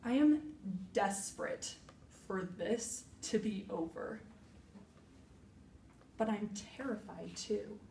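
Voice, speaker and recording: A grieving woman searches herself aloud in a stage monologue, acted by a young woman. She is raw yet resolute, speaking slowly with weighted pauses. The sound is roomy and distant from the microphone. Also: medium pitch, gravelly, mellow. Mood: desperate, afraid. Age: young adult. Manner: slow, deliberate, emphatic phrases with heavy pauses. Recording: roomy echo, distant mic, faint noise.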